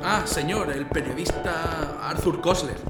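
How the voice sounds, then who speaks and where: A man's voice: fairly high pitch, a little slow, over music, close to an everyday voice. A man playing a hesitant army sergeant. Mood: reporting. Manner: respectful, hesitant. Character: dutiful army sergeant reporting. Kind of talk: radio drama acting.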